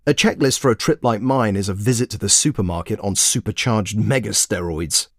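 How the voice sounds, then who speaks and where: A man's voice: on the low side, brisk, no room sound, studio quality. A man voicing a smug, pedantic, self-admiring traveller in a scripted comic audio monologue, pompous and precise. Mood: self-satisfied.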